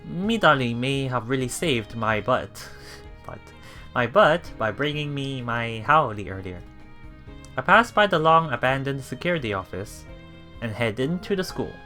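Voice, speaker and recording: A man's voice: mid-range voice, expressive, a little slow, bright, forceful tone, soft background music. A man reading a game aloud as a let's play streamer, reacting casually and repeating phrases as he puzzles things out.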